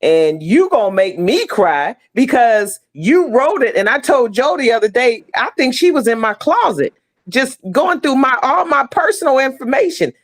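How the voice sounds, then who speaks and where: A woman's voice: high-pitched, very animated, normal speed. A middle-aged woman speaking casually and effusively as an interview guest on a video call, stressing her points. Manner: emphatic, effusive. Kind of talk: casual heartfelt interview talk.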